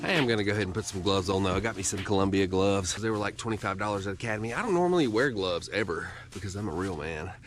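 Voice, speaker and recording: A man's voice: low voice, animated, fast talker, few pauses, music in the background. A man in his thirties talking casually to his camera on a cold outdoor hike, rambling loosely with lots of "like" and blunt, profane asides. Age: 30s. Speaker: hiking vlogger. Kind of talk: casual vlog talk to camera. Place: outdoors on a cold winter lake trail and in a parked truck. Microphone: handheld action camera.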